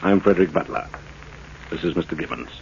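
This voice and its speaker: A man's voice: sits low, a little fast, creaky. Slick crooked businessman in an old radio drama, insinuating, muffled hissy recording. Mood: persuasive. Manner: formal, insinuating. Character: smooth-talking, menacing male businessman villain. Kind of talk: 1940s radio drama acting. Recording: dull, noisy old radio broadcast.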